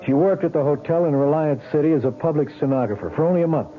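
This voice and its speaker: A man's voice: on the high side, close to an everyday voice. Man acting skeptical police lieutenant on tinny old radio. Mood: skeptical, probing. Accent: American. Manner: questioning, insistent, halting when reasoning. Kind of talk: vintage radio drama acting. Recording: tinny band-limited old radio recording, music.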